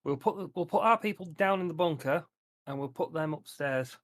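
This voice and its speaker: A man's voice: on the high side, a little fast, fairly bright tone, no room sound. A man playing a tabletop roleplaying game, chatting casually in a blunt, sweary way. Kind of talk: casual group banter.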